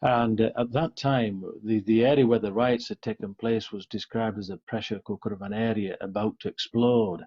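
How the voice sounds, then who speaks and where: A middle-aged Yorkshireman talks earnestly as an interview guest. His voice is soft-edged and mellow, with a husky grain. The sound is dull and lo-fi. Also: fairly low pitch, fairly even intonation, moderate pace, hardly any pauses. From Yorkshire, England. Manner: frequent "you know" and "sort of". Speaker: interview guest, police officer.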